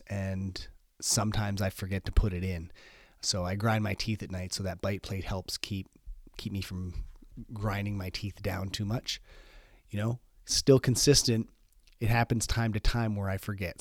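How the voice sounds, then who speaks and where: A man's voice: dry recording, studio quality. Congested man hosting a solo podcast. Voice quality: slightly squeaky voice. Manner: relaxed and rambling, with many fillers. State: congested from a cold. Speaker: podcast host.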